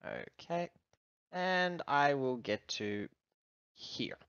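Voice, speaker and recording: A male player chats casually during a tabletop roleplaying game. His voice is clean and of middling pitch. The audio is dry and slightly dull. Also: very animated, extremely slow, fairly bright tone, smooth, no room sound.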